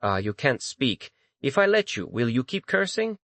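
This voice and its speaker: A man's voice: medium pitch, big pitch swings, moderate pace, gravelly, a bit bright, no echo. Man acting a smug, teasing young master. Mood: smug, amused. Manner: casual, mock-polite, taunting. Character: calm, teasing, coldly powerful young male master. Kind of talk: dramatized audiobook.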